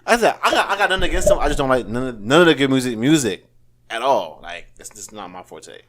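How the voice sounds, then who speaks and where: A man's voice: medium pitch, sing-song, quick pace, fairly bright tone, slight background noise. A man co-hosting a podcast, cutting in with blunt denials and short questions, laughing easily. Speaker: podcast co-host. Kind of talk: casual chat.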